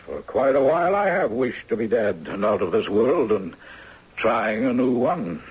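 An actor playing an elderly retired judge, courtly and wistful, with florid old-fashioned phrasing in a radio drama. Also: male voice, medium pitch, very animated, normal speed, breathy edge. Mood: resolute. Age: older. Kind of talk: 1970s radio drama acting. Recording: dull lo-fi 1970s radio recording.

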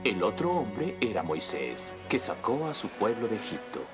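Low, very expressive cartoon baker storyteller, calm teaching manner, music behind. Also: male voice, moderate pace.

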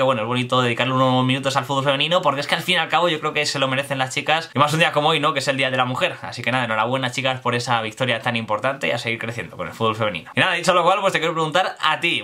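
Fast-talking young man, bright voice. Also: mid-range voice. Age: young adult. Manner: fast, energetic and chatty, addressing viewers directly. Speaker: football news vlogger. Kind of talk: casual news commentary to camera. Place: bedroom with posters.

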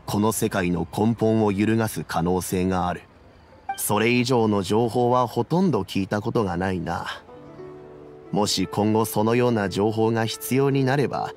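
Gravelly, grave older advisor. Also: male voice, fairly low pitch, darkish tone. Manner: measured, grave. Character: grave, worldly-wise grey-haired older man, an advisor. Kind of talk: anime game voice acting.

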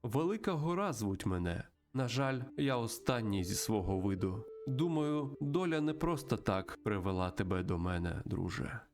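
A man voicing a wise, solemn spirit guide in a western game, giving slow mystical counsel. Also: lowish voice, big pitch swings, soft background music. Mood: mystical, welcoming. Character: solemn wise male spirit guide, last of his kind.

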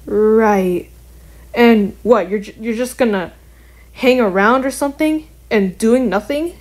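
A woman's voice: on the high side, slow pace, a little mellow. A woman voicing an earnest, wary young bat heroine in an amateur cartoon, talking in a casual, hesitant way. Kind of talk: amateur animated voice acting.

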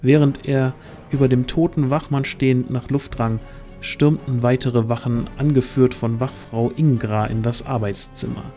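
Mellow male fantasy storyteller. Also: medium pitch, steady tone, moderate pace, faint music behind. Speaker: narrator. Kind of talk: scripted fantasy audio drama narration.

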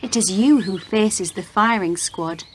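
A woman's voice: mid-range voice, measured pace, a little hiss or hum, close to an everyday voice. A woman playing a bold, sardonic 1920s working-class maid in a radio play, dry and defiant.

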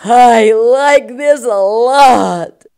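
A woman voicing a cold, shivering snowman from a children's storybook, with a quavering, drawn-out, exaggerated delivery. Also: on the high side, darkish tone. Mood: blissful.

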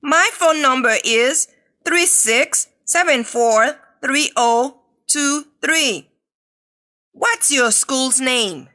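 A woman reading scripted English dialogues and exercise questions for a learners' audio book, clear and deliberate. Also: sits high, pushed and bright. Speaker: textbook audio reader.

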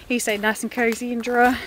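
A woman's voice: on the high side, a little hiss or hum, rough recording. A young Australian woman chatting casually to a handheld phone camera while out walking and driving. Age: young adult. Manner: chatty, lots of "like" and laughs. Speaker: travel vlogger. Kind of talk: casual travel vlog. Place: outdoors on forest and coastal walks, and in a car. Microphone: phone camera at arm's length.

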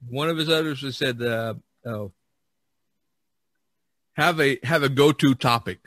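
Bright-voiced, chatty, rambling man. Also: medium pitch, unhurried, dry recording. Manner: rambling, jokey. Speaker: podcast host. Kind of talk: casual, chatty discussion. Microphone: online video call.

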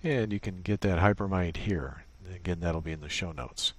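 A man's voice: sits low, normal speed. A man presenting an unscripted tutorial, explaining a setup casually, step by step. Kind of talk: casual unscripted software walkthrough.

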